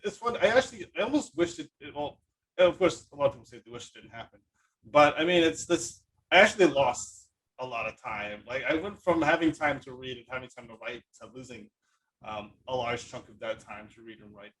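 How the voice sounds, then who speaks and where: A man's voice: mid-range voice, lively intonation, brisk, fairly bright tone, far from the mic, low-quality recording. A man hosting a podcast in a casual, rambling conversational way, often repeating his phrases. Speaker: podcast host. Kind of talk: casual conversational interview talk.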